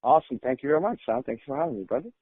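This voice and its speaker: A man's voice: medium pitch, lively intonation, racing, no echo. A man from Rwanda, a podcast guest telling his life story with many hesitant fillers and restarts. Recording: tinny phone-like line.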